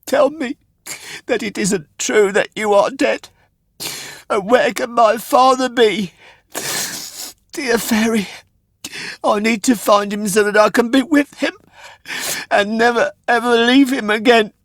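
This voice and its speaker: A man voicing a young wooden puppet boy from a storybook, naive and excitable, with pleading, exclaiming speech. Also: extremely high pitch, takes it slowly, long pauses, no echo, pitched above the performer's own voice, exaggerated voice. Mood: tearful, distraught. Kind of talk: storybook character voice.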